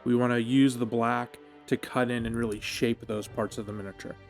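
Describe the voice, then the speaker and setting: A man with a mid-pitched voice narrates a hobby tutorial. He explains each step in a measured, instructional way. Music plays behind his voice-over. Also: level tone, gravelly. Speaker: hobby painting tutorial host. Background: background music.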